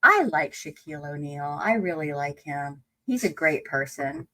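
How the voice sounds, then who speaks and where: Chatty woman with a lowish voice. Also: animated, sharp tone. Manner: chatty, lots of "like", quick laughs. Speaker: co-host reacting to a video. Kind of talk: casual reaction chat.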